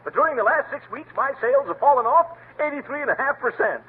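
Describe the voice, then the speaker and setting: A man's voice: very high-pitched, animated, fast talker, husky, exaggerated voice. A man voicing a fussy, pompous honey businessman in an old radio comedy. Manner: pompous with chuckling asides. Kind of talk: 1930s radio comedy acting. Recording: tinny old radio recording with faint hiss.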